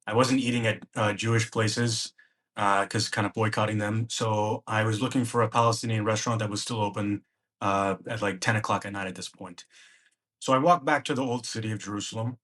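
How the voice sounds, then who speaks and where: Guy, lowish voice, telling a travel story on a podcast, acts out what people said. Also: steady tone, fairly quick, fairly bright tone. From United States. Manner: narrates in present tense, acting out remembered dialogue. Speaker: podcast guest. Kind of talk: personal travel story in conversation.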